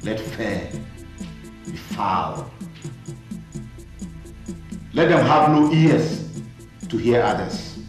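A man's voice: mid-range voice, very animated, extremely slow, long pauses, distant mic. A man playing a domineering, short-tempered Ghanaian household chief in a film drama, with a Ghanaian English accent. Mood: solemn incantation. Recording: very echoey room, off-mic, music behind.

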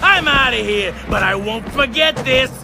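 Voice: sits high, animated, moderate pace, vocal fry. An actor voicing a mischievous, bullying bird thief in a dubbed cartoon, with a brash, taunting manner. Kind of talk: English-dubbed cartoon acting. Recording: loud music under the voice.

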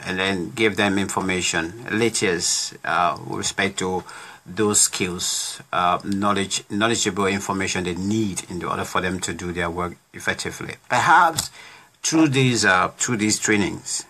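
Deep-voiced man hosting a talk show. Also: bright, forceful tone, slight background noise. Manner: halting, many restarts, plays devil's advocate. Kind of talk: casual interview chat. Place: small home studio room. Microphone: studio condenser mic on desk.

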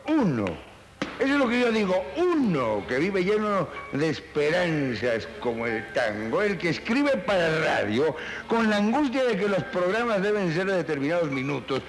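A man's voice: high-pitched, sing-song, normal speed. A man, a radio writer, delivering a rambling, theatrical lament on a reverberant old film soundtrack. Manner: rambling, dramatic, repetitive.

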